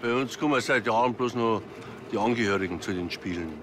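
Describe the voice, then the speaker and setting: An actor playing a plain, wistful amateur football club man with a Bavarian accent, halting and matter-of-fact, in a TV crime comedy. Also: lowish voice, noisy background, close to an everyday voice. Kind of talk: naturalistic TV crime comedy dialogue.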